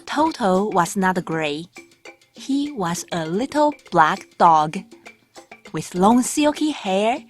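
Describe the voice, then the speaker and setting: A woman narrating a children's storybook from a script in a measured, deliberate read-aloud delivery. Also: mid-range voice. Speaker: audiobook narrator. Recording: music bed under the voice. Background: background music.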